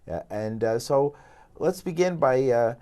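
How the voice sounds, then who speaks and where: A man hosting a studio interview show, relaxed and halting, with many fillers. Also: mid-range voice. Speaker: host. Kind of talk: radio interview. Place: radio studio.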